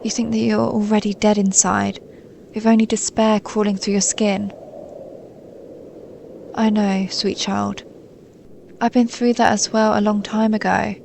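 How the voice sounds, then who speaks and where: A woman playing a centuries-old snow maiden in an intimate audio roleplay, a gentle, stoic and melancholy guardian spirit who speaks in a soothing, formal, measured way. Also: mid-range voice, level tone, gravelly, a little hiss or hum. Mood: tender, consoling, then wistful, sorrowful. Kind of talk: scripted audio roleplay, intimate monologue to listener.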